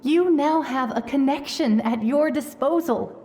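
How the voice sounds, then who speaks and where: A woman voicing a gentle, wise shaman in a video game, speaking in a calm, measured, explanatory way. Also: sits high, slight background noise. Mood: serene. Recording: prominent music behind the voice.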